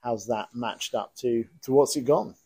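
A man's voice: medium pitch, a little slow, mellow, slightly rough, dry recording. A man hosting a casual podcast interview, chatty and rambling, thinking aloud as he goes. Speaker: club podcast host.

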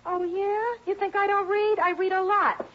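An actress playing a defensive, hard-bitten working-class young relative in a radio drama, slangy and combative. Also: female voice, very high voice, steady tone, moderate pace. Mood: combative, huffy. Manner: slangy, sharp-tongued, combative. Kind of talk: 1960s radio drama acting. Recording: noisy, muffled old radio recording.

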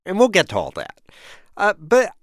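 Lively American male science reporter. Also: sits high, normal speed, no room sound, clean studio sound. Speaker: science correspondent. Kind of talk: conversational narrative reporting.

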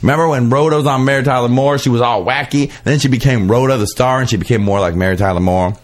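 A man's voice: medium pitch, fast. A man hosting a podcast, chatting casually and comedically in a campy, gushing, gossipy way. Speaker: podcast host.

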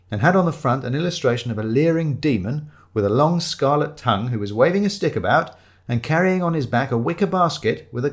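A man narrating a comic first-person story in a wry, dry storytelling manner. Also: mid-range voice. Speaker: audiobook narrator.

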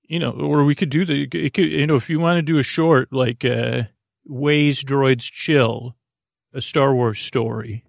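Man, gravelly fry, dry close sound, meandering bedtime podcast host, halting false starts, wandering tangents. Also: medium pitch, fairly even intonation, no echo. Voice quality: gravelly, creaky. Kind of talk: rambling bedtime podcast monologue.

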